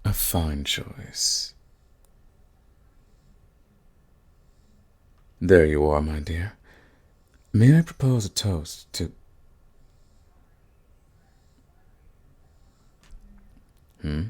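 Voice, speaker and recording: A man playing a suave, sadistic vampire lord in an ASMR roleplay, courtly and menacing, with a gravelly, husky voice. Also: low-pitched, animated, darkish tone, full character voice. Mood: teasing, insistent. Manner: courtly, purring, slow and menacing. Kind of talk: ASMR roleplay, scripted monologue to the listener.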